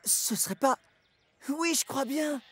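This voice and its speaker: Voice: high-pitched, measured pace. An actor voicing an earnest, eager, childlike little male electric locomotive in a children's cartoon. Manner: makes imitation engine noises, sincere. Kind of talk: French-language children's cartoon voice acting.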